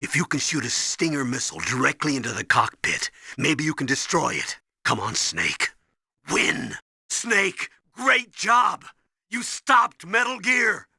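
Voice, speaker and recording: A man playing an earnest, intense comrade in a video game, with a raspy voice and dramatic, urgent delivery. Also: on the high side, sing-song, a little slow, sharp tone, no echo, clean studio sound, heavily acted.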